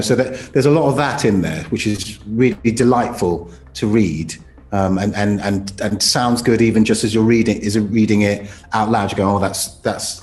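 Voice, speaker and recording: A man's voice: lowish voice, a little mellow, echoey room. A male voice actor talking casually in an interview about his craft. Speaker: voice actor, narrator.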